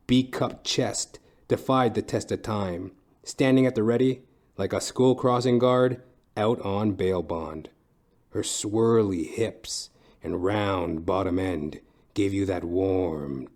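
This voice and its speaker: A man playing a wry, down-at-heel private eye in a comic noir detective play, deadpan and measured with dramatic pauses. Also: deep voice.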